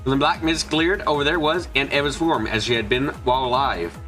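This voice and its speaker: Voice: mid-range voice, brisk, fairly bright tone, faint music behind. A streamer narrating a visual novel as a live fan dub, in a dramatic storytelling manner. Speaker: streamer reading the story and all parts.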